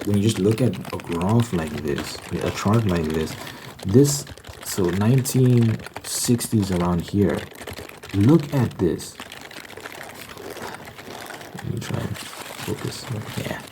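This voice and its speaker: A man's voice: low-pitched, expressive, slow pace, pauses often, background noise. A man giving a casual, rambling ASMR monologue, informal and hesitant, often repeating his words. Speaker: host.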